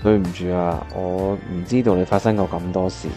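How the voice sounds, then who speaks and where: Man acting as earnest young male pianist, Hong Kong accent, caring, lowish voice, radio drama storytelling, music underneath. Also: fairly even intonation, normal speed, close to an everyday voice. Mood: surprised, concerned. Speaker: voice actor narrating and playing the lead. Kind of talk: Cantonese radio drama narration and dialogue.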